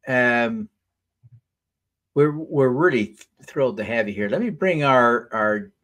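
A man in his fifties hosting a live pitch show on a video call and handing over to questioners. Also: fairly bright tone.

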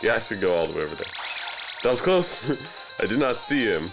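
A man's voice: lowish voice, very animated, brisk. A young man giving casual, off-the-cuff video game commentary in a joking, self-deprecating manner. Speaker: let's play commentator. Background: video game music.

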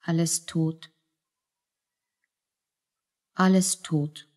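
A woman's voice: deep voice, fairly even intonation, very slow, a little mellow. A woman playing a guilt-stricken, desperate young mother in a radio play, solemn and anguished in her delivery. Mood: despairing. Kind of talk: German radio play acting.

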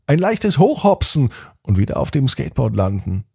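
A man telling a children's bedtime story in a slow, soothing, softly spoken way. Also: mid-range voice, very animated, gravelly, husky. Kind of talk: gentle bedtime story narration.

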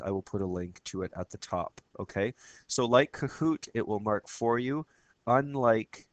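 Man, slightly raspy from a cold, low voice, dry close sound. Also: no room sound. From Canada. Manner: casual, explanatory, with frequent fillers and restarts. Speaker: teacher. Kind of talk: screen-recorded software tutorial.

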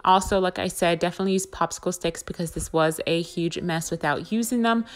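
A woman's voice: fairly low pitch, fairly quick. A woman in her thirties, chatting casually to her viewers as she walks them through baking and cleaning in her home kitchen. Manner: chatty, talks straight to viewers. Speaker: lifestyle vlogger. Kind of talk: casual cooking and cleaning voice-over.

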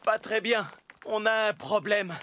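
A man's voice: high-pitched, measured pace, fairly bright tone. An actor playing a friendly, responsible builder who leads his team in a children's cartoon, giving earnest, encouraging instructions. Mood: alarmed.

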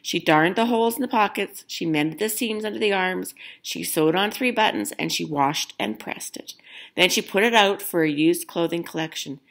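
A woman reading a children's picture book aloud in an expressive storytelling style. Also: fairly low pitch, moderate pace.

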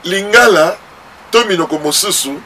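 A man's voice: high-pitched, animated, moderate pace, far from the mic, background noise. A middle-aged man with a Congolese accent, a pastor speaking earnestly to the camera and moving between French and Lingala. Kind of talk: talk to camera. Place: outdoor porch by a garden.

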